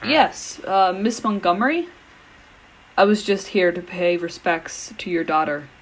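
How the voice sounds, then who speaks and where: An actress playing an anxious doctor in a radio play, earnest and pleading. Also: female voice, on the low side, a little slow, a little hiss or hum, natural voice, low-quality recording. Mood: polite. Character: anxious, reasoning doctor facing a gun. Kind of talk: dramatic radio play acting.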